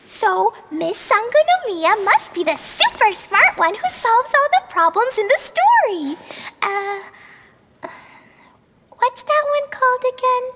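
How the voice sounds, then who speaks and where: A woman voicing an excitable, cheerful little girl in a game cutscene, talking about herself in the third person. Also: extremely high pitch, slow, noisy background, heavily acted. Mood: excited. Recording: game audio under loud music.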